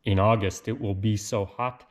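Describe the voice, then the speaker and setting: A man reading a children's picture book aloud in an expressive, sing-song, theatrical way. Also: on the low side, moderate pace, slight background noise. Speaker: read-aloud storyteller.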